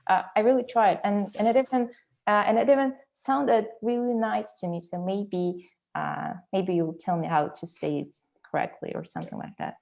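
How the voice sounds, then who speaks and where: A woman's voice: mid-range voice, moderate pace, creaky, a little mellow. A Russian woman with a Russian accent giving conversational advice in a Q&A, hesitating and rephrasing as she goes. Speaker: recruitment training Q&A panelist.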